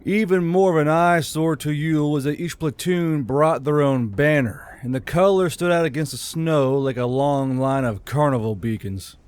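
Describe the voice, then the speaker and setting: A man's voice: fairly high pitch, normal speed, few pauses, a little mellow, clean tone, no echo. A man reading a scripted fantasy audiobook as host and narrator of his channel.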